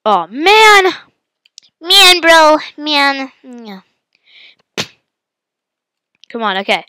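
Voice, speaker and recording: A woman with a head cold hosting her gaming channel, giving excitable live commentary and yelping at the game as she plays. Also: high-pitched, extremely slow. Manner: excitable, yelps and exclaims at the game.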